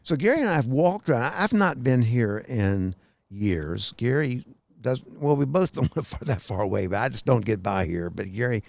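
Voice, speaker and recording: A man's voice: mid-range voice, animated, normal speed, vocal fry, a little mellow, no room sound. A man in his sixties co-hosting a podcast in rambling casual chat, prompting his partner, recorded outdoors on a lakeside tower. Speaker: podcast co-host.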